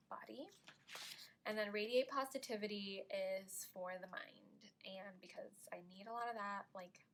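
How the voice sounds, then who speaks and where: A woman in her twenties chatting casually through a planner flip-through, full of "like" and "um", often dropping to a soft near-whisper. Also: mid-range voice. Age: 20s. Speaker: planner vlogger.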